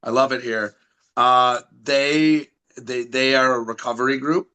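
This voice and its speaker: A man's voice: mid-range voice, steady tone, measured pace, bright, forceful tone, clean tone, poor audio. A man from Michigan with a Michigan accent, a wrestling school head talking as a podcast guest, confident and promotional, often restarting his sentences. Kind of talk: podcast interview.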